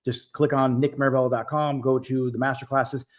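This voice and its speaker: A man's voice: mid-range voice, flat delivery, fairly quick. A man in his forties hosting a live stream Q&A, giving business coaching in a direct, emphatic way that repeats key points. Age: 40s. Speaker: host.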